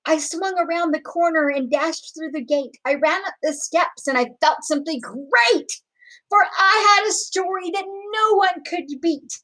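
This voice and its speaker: A woman reading a children's picture book aloud expressively, with deliberate, dramatic phrasing. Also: extremely high pitch, bright, forceful tone, low-quality recording. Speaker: storybook reader.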